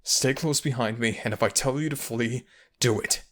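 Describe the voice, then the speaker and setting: A man's voice: medium pitch, normal speed, voice pushed up, lightly acted. Man playing a stern, commanding fox-spirit guardian. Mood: urgent, protective. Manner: firm, commanding, urgent. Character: stern, fiercely protective male kitsune guardian spirit. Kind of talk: ASMR audio roleplay acting.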